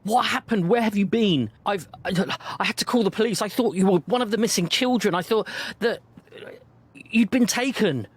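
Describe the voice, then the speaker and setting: A man putting on a fussy, frantic uncle whose voice jumps up high and tight. He stammers and trips over his words in a tabletop game, strained and anxious throughout. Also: normal speed, breathy edge.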